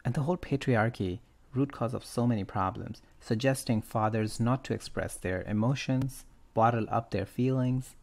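A man hosting a podcast, telling a story and discussing it casually, with a gravelly creak in his voice. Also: mid-range voice, normal speed, hardly any pauses, a little mellow. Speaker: podcast host.

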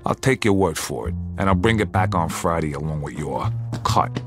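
Deep-voiced, confident American mobster. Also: male voice, animated, normal speed, over music. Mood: businesslike. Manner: assured, matter-of-fact, blunt. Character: confident, businesslike young American mobster pitching deals. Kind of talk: video game cutscene acting.

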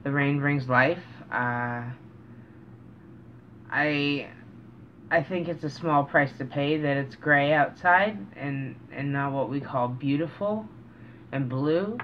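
A middle-aged man talking casually and reflectively to camera as a vlogger, earnest and halting, pausing mid-sentence, in a small room indoors. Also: fairly high pitch. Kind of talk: casual, reflective vlog talk. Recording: dull, noisy home recording.

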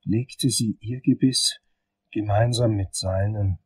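An actor as a soft, hesitant male patient in a crime audio play. His low, slightly husky voice is wistful and halting, sitting a bit distant from the mic. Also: steady tone, dry recording. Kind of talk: German crime radio play acting.

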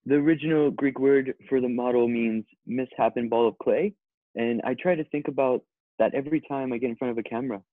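Thin, phone-like call audio with little room sound. A man reads a sincere but dim-witted, vain male model in a comedy table read, at an ordinary male pitch. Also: a little fast, dry recording, lightly acted. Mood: earnest, self-important. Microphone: video call.

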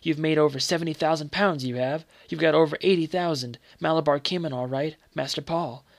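Sly, deferential gardener, hushed, dry close sound; male reader voicing him. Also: on the high side, fairly quick, no room sound, natural voice.

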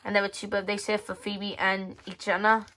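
Casual female read-aloud voice, medium pitch, halting, with offhand muttered asides. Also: little pitch movement, sharp tone. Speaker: magazine reviewer. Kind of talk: casual read-aloud walkthrough of a children's magazine. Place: indoors at a tabletop.

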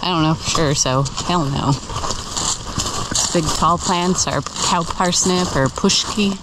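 American lady vlogging outside, lowish voice, chatty n self-deprecating, noticeable background noise. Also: slow. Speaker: sailing vlogger. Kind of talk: casual chat. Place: outdoors on open water and shoreline. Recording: outdoor camera audio with clear background noise.

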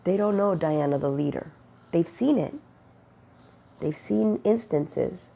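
A middle-aged woman with a cold, her voice deeply gravelly, husky and dark. She speaks slowly and earnestly, sharing faith testimony into a wired earbud mic in a sunlit bedroom. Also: animated. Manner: earnest, unhurried, quotes God in conversation. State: has a cold, sniffling and rough-voiced. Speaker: faith vlogger. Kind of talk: casual spiritual testimony. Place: bedroom with bright window, indoors. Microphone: wired earbud mic, webcam close-up.

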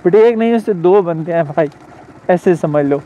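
A man's voice: sits high, normal speed, dark tone. A young man with a Pakistani Urdu accent, a delivery rider chatting casually to viewers while riding a motorbike through town, rambling and calling them brother. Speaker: food delivery rider and motovlogger. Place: outdoors on a motorbike on a town road. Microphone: helmet or chest camera while riding. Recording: dull lo-fi sound with faint engine and traffic noise.

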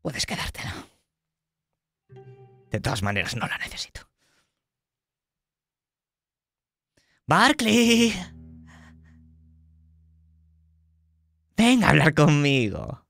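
A man's voice: fairly high pitch, huge pitch swings, a little slow, creaky, a bit bright, no echo, faint music behind, pitched above the performer's own voice, exaggerated voice. A man voicing an ancient, mummy-like old goddess who speaks with cold menace and contempt, partly in a hissing half-whisper. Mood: scornful.

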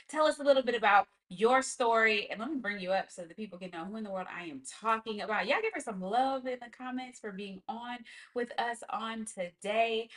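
A woman's voice: on the high side, fairly bright tone. A woman hosting a casual online team talk over a video call, speaking in an effusive, emphatic way. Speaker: host interviewer.

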